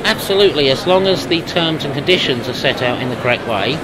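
A man in his fifties being interviewed at a trade show, explaining things in a measured, businesslike way. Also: a little high, lively intonation. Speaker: company representative being interviewed. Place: busy exhibition hall booth. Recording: loud trade-show crowd noise. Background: loud crowd hubbub.

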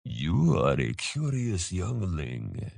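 A man voicing an ancient male dragon in a fantasy audiobook, gravelly, formal, grave and patient, with weighty, slow delivery. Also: low-pitched, wildly swooping pitch, a little mellow, no echo, studio quality, heavily acted. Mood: curious, grave. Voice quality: gravelly, tight with disuse.

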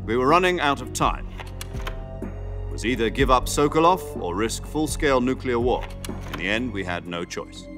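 An actor playing a grave middle-aged intelligence officer briefing an agent in a game cutscene, speaking in a measured, solemn way. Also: male voice, medium pitch, expressive, music in the background, close to an everyday voice. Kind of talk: dubbed video game cutscene. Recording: game audio with loud score.

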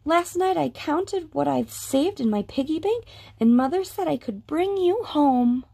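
A woman playing an excited little girl with a squealing voice. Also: measured pace, creaky, full character voice. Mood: eager. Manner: high bright childlike squeals.

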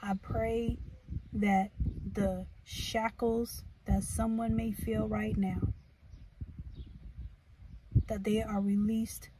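An American woman in her thirties chatting casually and reflectively in an earnest, halting way, as a vlogger in a car. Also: mid-range voice, flat delivery. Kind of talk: casual, reflective chit chat. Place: inside a parked car. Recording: distant mic, clear background noise.

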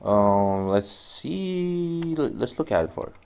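A man's voice: medium pitch, very animated, takes it slowly, gravelly, darkish tone, slight background noise. A man whose native language is Arabic, walking through a networking tutorial in loose, rambling speech with frequent restarts. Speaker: networking instructor. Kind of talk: screen-recorded tutorial.

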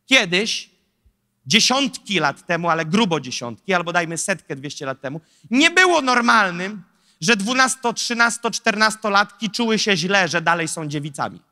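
A man in his thirties preaching a sermon into a handheld mic on a large church stage, animated and rhetorical, with lively asides to the audience. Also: high voice, moderate pace. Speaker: pastor. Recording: long hall reverb.

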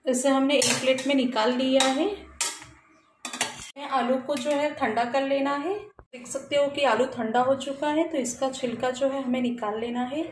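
A woman hosting a cooking channel, calmly narrating a recipe step by step in her home kitchen. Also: high-pitched, a little slow, roomy sound, noisy background. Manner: calm, instructional, repeats "we will add".

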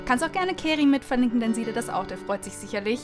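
A woman with a fairly high voice hosts a podcast. She talks casually and effusively, gushing as she goes, as if chatting with a friend. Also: expressive, fairly quick, over music. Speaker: podcast host.

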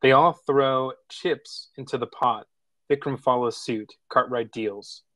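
Male narrator, mid-pitched, screenplay stage directions, table read, close dry recording. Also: steady tone, unhurried, a bit bright, no room sound. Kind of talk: scripted narration of screenplay action.

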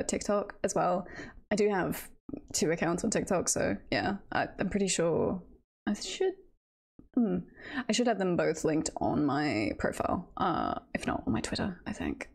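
A woman hosts a casual livestream at a slow, halting pace. Her voice sits at a medium pitch, and she tells stories in a giggly, self-deprecating manner. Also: vocal fry. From United Kingdom. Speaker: livestreamer, VTuber host. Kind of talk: casual livestream chat, storytelling.

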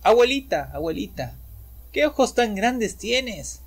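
Cheerful little storybook girl, bright and eager, very high and lively, put on by a man. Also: moderate pace. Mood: wondering. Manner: bright and excited.

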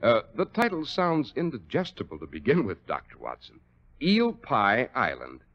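Animated radio announcer, a man. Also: mid-range voice, moderate pace. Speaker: announcer, radio show host. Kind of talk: 1940s radio announcing, scripted banter. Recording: dull, muffled old radio recording.

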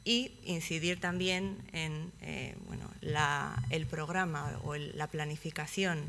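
Woman, young adult, conference talk, hesitant with fillers, gravelly edge, faint background noise. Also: low-pitched, steady tone, slow pace, fairly bright tone. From Basque Country, Spain. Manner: hesitant, many fillers and restarts. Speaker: project manager presenting at a conference. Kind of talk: conference presentation. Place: conference hall, seated at a table.

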